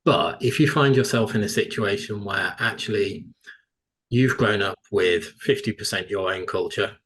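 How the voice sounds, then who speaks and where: A man with a notably deep voice, speaking on a panel over a video call. He makes his case persuasively and keeps inviting agreement with questions. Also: normal speed, a bit bright, a little hiss or hum. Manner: persuasive, leans on tag questions. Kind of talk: panel discussion.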